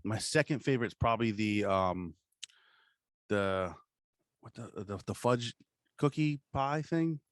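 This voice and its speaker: A man's voice: on the low side, expressive, a little slow, pauses often, no room sound, clean studio sound. An American man co-hosting a podcast in casual, comedic chat, rambling along with plenty of swearing and slang. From New Jersey, USA. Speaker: podcast co-host.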